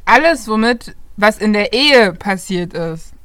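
A woman hosting a casual podcast chat, quick to argue her own opinions. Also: mid-range voice, expressive, fairly bright tone, no echo. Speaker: podcast host.

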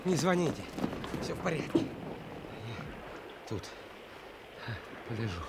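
An actor playing a defiant, jittery young thief in a radio drama, stubborn and streetwise. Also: male voice, mid-range voice, big pitch swings, takes it slowly, heavy background noise. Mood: weary.

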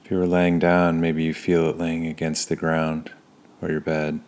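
A man leading a guided meditation in a calm, soothing manner, pausing between short phrases. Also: rumbling low. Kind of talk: guided body scan meditation.

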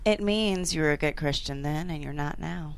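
Casting: actress as prim, pointed young sister, heavy vocal fry, slightly low voice. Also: female voice, moderate pace. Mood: needling. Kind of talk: script table read. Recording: noticeable background room noise.

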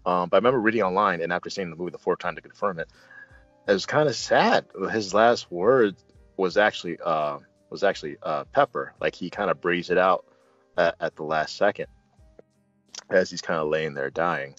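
A man hosting a casual movie discussion, retelling scenes in an earnest, halting, colloquial fan's way. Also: low-pitched, a bit bright, no echo. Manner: halting, earnest fan talk with colloquial asides. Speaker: movie reviewer and show host. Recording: dull lo-fi audio with faint music. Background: faint music.

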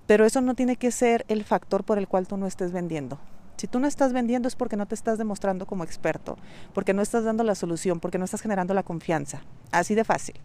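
A woman's voice: medium pitch, mellow, no room sound. A Mexican woman hosting her own podcast, talking straight to the listener in a direct, coaching way and repeating key points for emphasis. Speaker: podcast host. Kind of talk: solo podcast monologue on online business.

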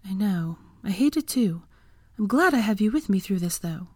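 A woman reads as an earnest young heroine in a storybook. The voice is lifted well above a low register, caring yet determined, and recorded dry and close. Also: animated, moderate pace, husky, no echo. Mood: confiding.

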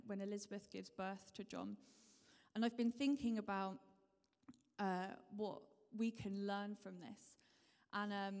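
A woman delivers a sermon with an easy, conversational manner, often turning to put questions to her congregation. Her voice sits at an ordinary middle pitch. Her words ring out with the long reverb of a church hall. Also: a little mellow. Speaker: preacher.

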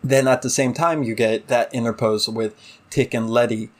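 A man with a medium-pitched voice talks as co-host of a casual TV discussion podcast. He thinks aloud, hedging and halting as he works through his opinions. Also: fairly even intonation. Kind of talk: casual TV episode review discussion.

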